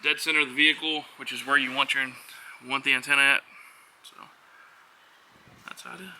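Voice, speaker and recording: A man's voice: medium pitch, level tone, a little fast, pushed and bright. A man giving a casual, unscripted how-to walkthrough as a vlogger, talking in an offhand, hesitant way with trailing phrases. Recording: noisy on-location vlog audio.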